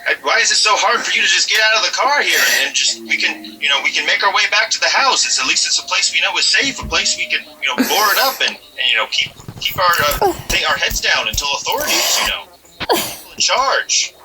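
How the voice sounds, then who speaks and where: An actor playing an alien thing posing as a dead husband, coaxing in a halting, folksy, rambling way that repeats itself, in a horror drama. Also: male voice, high-pitched, big pitch swings, recorded from a distance, over music, rough recording. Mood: anxious coaxing.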